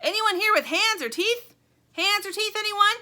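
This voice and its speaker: A brash toy shark, played by a woman reading a storybook, yells in a high, blaring voice. It is loud and demanding, with a sharp, bright edge on every word. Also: very animated, quick pace, heavily acted. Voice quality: blaring, bugle-like. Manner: yelling, demanding.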